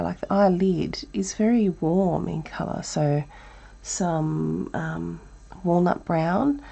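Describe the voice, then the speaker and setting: Woman, Australian accent, croaky, breathy, mellow, art lesson. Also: lowish voice. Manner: relaxed, thinking aloud with many ums. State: croaky, breathy voice from a chest cold. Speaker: art teacher. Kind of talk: live drawing tutorial.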